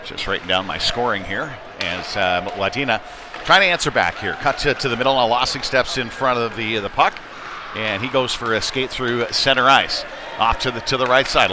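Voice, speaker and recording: Man calling hockey over clear rink noise. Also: mid-range voice. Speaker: play-by-play sports commentator. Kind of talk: live youth hockey play-by-play commentary. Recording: noisy live rink ambience. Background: ice rink game noise.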